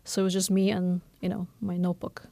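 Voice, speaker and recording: Novelist guest, woman in her thirties, quick, candid, lots of "you know", some vocal fry, dry studio sound. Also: fairly low pitch, dry recording. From Bangladesh. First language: Norwegian. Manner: fast, candid, animated with many fillers. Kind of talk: conversational radio interview.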